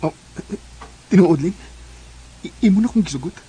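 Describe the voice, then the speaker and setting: A man's voice: high-pitched, animated, moderate pace, warm tone, husky, soft background music, exaggerated voice, poor audio. A man with a Cebuano Filipino accent playing an earnest, devoted young suitor in a radio drama. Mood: elated, loving. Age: young adult.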